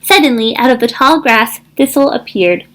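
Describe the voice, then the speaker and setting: A woman reading a children's storybook aloud in an expressive, deliberate narrating style. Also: on the high side. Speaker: school counselor reading aloud.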